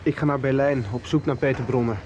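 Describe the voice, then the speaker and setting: A man's voice: medium pitch, steady tone, fast talker, a little mellow, muffled recording, slight background noise, close to an everyday voice. An actor playing an earnest, doubting young man in a radio soap, speaking in a hesitant, sentimental way. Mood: anxious.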